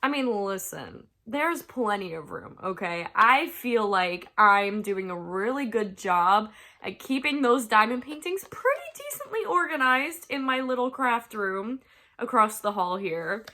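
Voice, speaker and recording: Young woman, stuffed-up nose, casual home chat, bright tone, laughs a lot. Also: a little high. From United States. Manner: chatty and self-deprecating, laughs often. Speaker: crafting vlogger. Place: her bedroom at home.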